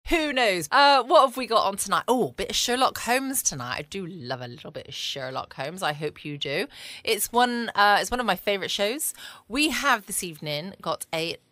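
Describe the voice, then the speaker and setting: Bright-voiced southern English woman, chatty host. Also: mid-range voice, expressive, normal speed, no echo. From England. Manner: chatty, rambling, playful. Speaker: podcast host. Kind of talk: casual bedtime podcast chat. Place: small home studio.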